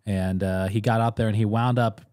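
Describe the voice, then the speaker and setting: A man's voice: fairly low pitch, steady tone, a little fast, a little mellow. A man in his thirties hosting a podcast in casual conversation, speaking into a close studio microphone. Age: 30s. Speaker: podcast host. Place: small podcast studio indoors. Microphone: close studio mic on boom arm, headphones.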